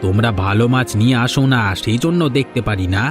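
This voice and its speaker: A man's voice: mid-range voice, lively intonation, fast talker, over music, lightly acted. A man voicing a bullying, fault-finding fish market henchman in a cartoon. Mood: scornful. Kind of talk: Bengali cartoon voice acting.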